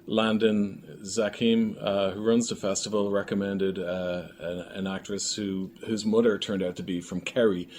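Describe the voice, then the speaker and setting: Guy with a lowish voice chatting in an interview, kinda halting and rambly, some noise behind him. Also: level tone, a little mellow. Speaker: guest, audio drama writer and director. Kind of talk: casual interview chat. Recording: noticeable background room noise.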